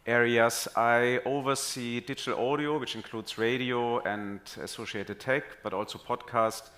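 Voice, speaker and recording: A man introducing himself on a conference panel as a digital audio executive, measured and formal. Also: mid-range voice, steady tone, a bit bright.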